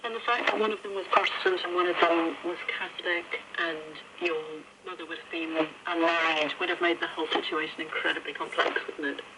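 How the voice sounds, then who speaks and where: A woman's voice: lowish voice, fairly even intonation, fairly quick, few pauses. A woman presenting and interviewing on a radio programme, heard over shortwave. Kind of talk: interview questions and linking narration. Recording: very noisy, crackly shortwave radio reception.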